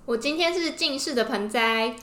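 A woman's voice: sits high, moderate pace, bright, forceful tone. A woman with a Taiwanese Mandarin accent co-hosting a podcast in casual, gushing fangirl chat. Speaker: podcast co-host. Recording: roomy indoor echo.

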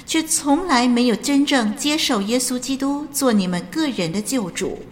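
A woman playing a solemn angel who judges souls in a radio drama, measured, authoritative and didactic, with music behind her. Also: sits high, expressive, long reverb tail. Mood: stern, solemn. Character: solemn female angel judging souls at heaven's gate. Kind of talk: radio drama acting, preaching delivery. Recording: music bed under the voice.

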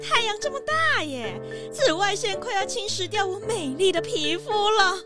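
A vain, glamorous lady for a kids' radio play. The voice is extremely high and whiny, exaggerated and swinging widely in pitch. Music plays behind. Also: sing-song, normal speed. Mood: fussy. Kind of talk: children's audio drama acting.

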